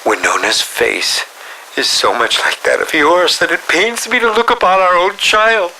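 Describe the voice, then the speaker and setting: An actor voicing a melodramatic, sinister film director villain in a video game, drawing out his lines and dropping into breathy whispers. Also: high voice, animated, pushed and bright, slight background noise, exaggerated voice, low-quality recording. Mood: anguished mourning. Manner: melodramatic, drawn-out delivery with breathy whispered drops. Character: theatrical, sinister mad male film director villain, grieving and menacing. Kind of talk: scripted game villain monologue.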